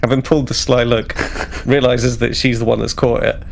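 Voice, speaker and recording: A man's voice: mid-range voice, level tone, a little fast, vocal fry. A man playing a tabletop roleplaying game, casually improvising his character's actions and searching for words.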